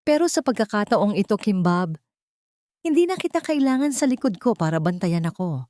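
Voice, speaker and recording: A woman's voice: mid-range voice, sing-song, moderate pace, darkish tone, dry recording, pitched above the performer's own voice, natural voice. A woman plays a young heiress in a romantic audio drama, devoted and resolute. She speaks with tender earnestness, in a very dry recording. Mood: tender, happy.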